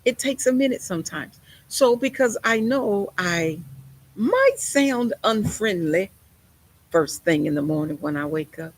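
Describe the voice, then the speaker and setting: A woman delivers a sermon with a husky, breathy edge to her voice. She preaches in an emphatic, conversational way, with folksy turns of phrase. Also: medium pitch, lively intonation, unhurried, no echo, low-quality recording.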